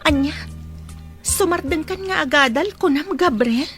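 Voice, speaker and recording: A woman's voice: sits high, unhurried, a bit bright, soft background music. A woman acting as a caring, frank young friend in a radio drama, coaxing and emphatic, with an Ilocano Filipino accent. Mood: urging, concerned.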